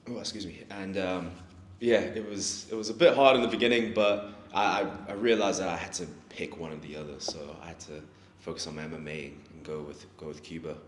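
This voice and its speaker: A young man from Africa, talking through his story in a laid-back, halting way as an interview guest. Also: sits low. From South Africa. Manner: laid-back, hesitant, restarts often. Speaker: guest, fighter. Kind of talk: casual interview. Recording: echoey room with faint noise.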